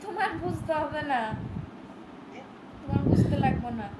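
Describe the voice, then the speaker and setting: A woman's voice: high-pitched, lively intonation, moderate pace. A young woman chatting casually, as on a prank phone call for a vlog. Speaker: prank vlogger. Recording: very noisy, echoey room recording.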